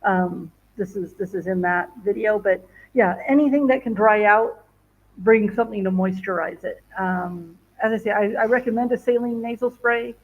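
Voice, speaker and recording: Casual woman host, chatty and rambling, mid pitch, dull lo-fi sound. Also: normal speed. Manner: rambling, many fillers and restarts. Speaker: travel vlogger. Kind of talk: casual livestream chat. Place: home in northern Ghana.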